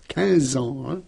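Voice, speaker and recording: A middle-aged man of medium pitch as the kindly uncle of a radio drama. He is bookish and indulgent, mixing gentle teasing with reassurance. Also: vocal fry, breathy edge, full character voice. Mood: wistful, indulgent. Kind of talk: French radio mystery drama acting.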